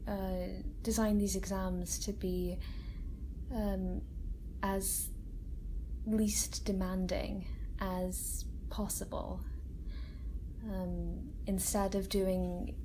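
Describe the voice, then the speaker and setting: A woman acts out a kind, gentle doctor at a research facility, caring for an alien patient in an ASMR roleplay. She speaks softly and slowly at a medium pitch, hesitant and reassuring. A sci-fi ambience hums faintly behind her. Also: natural voice, low-quality recording. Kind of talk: soft-spoken ASMR roleplay. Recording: steady ambient noise bed. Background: sci-fi room ambience.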